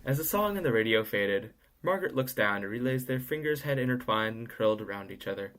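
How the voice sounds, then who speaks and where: A man's voice: mid-range voice, animated, fast, a bit bright, close to an everyday voice. A young man playing a gossipy storyteller who narrates an audio drama with wry, knowing asides. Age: young adult.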